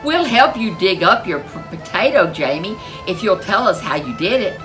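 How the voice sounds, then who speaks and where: A woman's voice: mid-range voice, lively intonation, moderate pace, fairly bright tone, faint music behind, close to an everyday voice, rough recording. Lady doing the villagers, all worried and wailing, storybook style. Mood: pleading. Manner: pleading, wailing.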